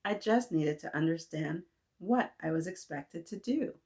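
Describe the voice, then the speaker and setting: A woman, reading a children's book aloud and gently pausing to talk with young listeners. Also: fairly low pitch, animated. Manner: gentle, asks kids to reflect, frequent "right?". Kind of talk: read-aloud storytelling for kids. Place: indoors, small room with painted mural.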